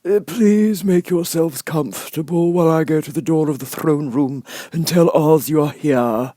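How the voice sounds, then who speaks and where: Man putting on a high, mellow, courteous palace soldier. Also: no echo, clean studio sound, natural voice. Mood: courteous, formal. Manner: courteous, formal, drawn-out delivery. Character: polite, long-bearded palace soldier, stately and obliging. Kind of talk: audiobook character voice.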